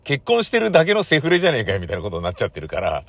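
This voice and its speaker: A man hosting a casual solo podcast, talking in halting, drawn-out phrases that keep checking for agreement. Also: mid-range voice, huge pitch swings, vocal fry, no echo. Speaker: host of a regular podcast, radio drama director.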